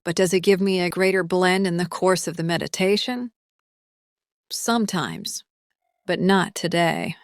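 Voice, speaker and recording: A woman plays a serene, spiritual mother in an audio drama. Her voice sits a little low, with reflective, measured phrasing and very little room sound. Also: expressive, dry recording, clean studio sound. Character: serene, thoughtful pregnant mother, a spiritual adept.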